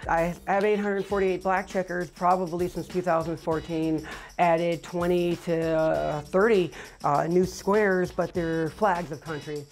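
High-voiced middle-aged man, matter-of-fact. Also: steady tone, measured pace, soft background music, low-quality recording. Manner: plain, matter-of-fact, with frequent fillers. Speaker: record holder. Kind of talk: interview monologue. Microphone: lapel.

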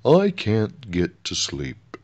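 Weary storybook bear king, voiced by a male reader, plaintive, drawn-out, very animated pitch. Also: full character voice. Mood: weary, complaining.

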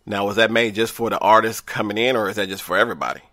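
A man's voice: fairly low pitch, racing, bright, forceful tone, no echo. A man hosting a radio show from the area, with a laid-back, casual interviewing manner. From Evanston, Illinois.

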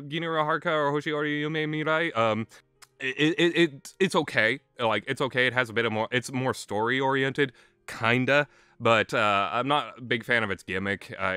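Bright-voiced young man rambling over music. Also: mid-range voice, clear, resonant tone, no echo. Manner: hesitant, self-deprecating, chuckles as he talks. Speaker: visual novel reviewer. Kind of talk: casual unscripted rambling commentary.

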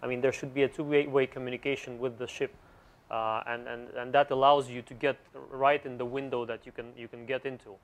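A male panelist speaks in a level, flat voice and stumbles through his points with frequent fillers. His delivery stays halting throughout. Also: medium pitch. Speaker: conference panelist. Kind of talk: panel discussion.

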